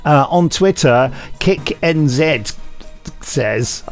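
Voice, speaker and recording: Man, middle-aged, fairly high creaky voice, casual podcast banter, faint music. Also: expressive, extremely slow. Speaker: podcast co-host.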